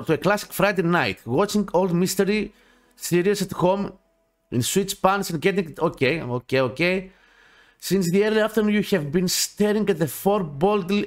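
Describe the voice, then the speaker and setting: A man in his thirties with a Greek accent, a native Greek speaker, hosting a casual let's play and reading game text aloud haltingly with asides. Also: high-pitched. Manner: halting reading, often repeating phrases, swearing in asides. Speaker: gaming channel host. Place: small dim home gaming room. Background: game music.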